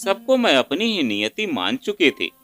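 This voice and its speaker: Male storyteller, dramatic sorrowful narration, very animated pitch, bright tone, faint music behind. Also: moderate pace. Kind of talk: emotional first-person story narration. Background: faint instrumental music.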